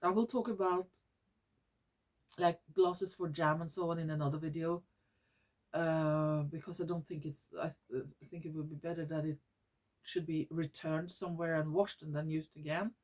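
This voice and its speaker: A woman from Norway with a Norwegian accent, hosting her own vlog in English, which is not her first language, often pausing to search for words and restarting her sentences. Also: sits low, fairly even intonation, a little mellow. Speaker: YouTube channel host. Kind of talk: casual vlog monologue.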